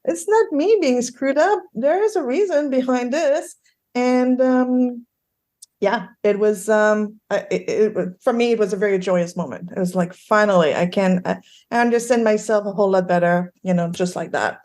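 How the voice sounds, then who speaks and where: A woman in her fifties from Eastern Quebec with a Québécois French accent, a guest telling her story in a relaxed interview, laughing often and full of fillers and restarts. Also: medium pitch, normal speed. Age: 50s. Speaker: guest, ADHD coach and sleep expert. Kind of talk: casual podcast interview.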